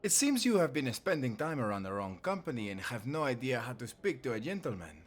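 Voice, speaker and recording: A man's voice: mid-range voice, sing-song, a little fast. Man acting suave Spanish rogue, lilting widely. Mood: smooth, flirtatious. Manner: formal, smooth-talking, condescending. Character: suave, smug Spanish gentleman, flirtatious wannabe warlock. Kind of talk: audio roleplay acting.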